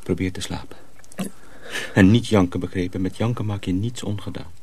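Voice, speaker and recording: An actor playing a shaken, gruff young mill journeyman who takes charge curtly in a radio drama. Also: deep voice, steady tone, normal speed, a little mellow, a little hiss or hum. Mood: curt, subdued.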